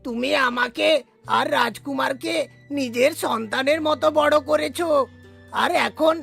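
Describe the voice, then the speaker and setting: Devoted, starving cartoon orphan played by a woman, high-pitched and plaintive. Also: measured pace, creaky, fairly bright tone, heavily acted. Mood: pleading. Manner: pleading, plaintive. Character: poor hungry devoted orphan child Dukhu. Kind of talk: cartoon voice acting. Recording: faint background music.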